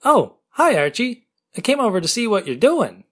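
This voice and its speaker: A woman's voice: a little high, very animated, normal speed, a bit bright. A woman playing a cheeky, wisecracking teenage boy in 1940s-style radio comedy. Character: wisecracking, laid-back teenage boy sidekick. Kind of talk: 1940s radio sitcom acting.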